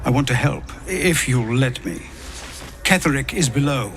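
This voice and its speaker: A man playing a solemn, guilt-burdened warrior in a fantasy video game, speaking gravely and sometimes hushed, with music behind him. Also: medium pitch, animated, moderate pace. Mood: resolute.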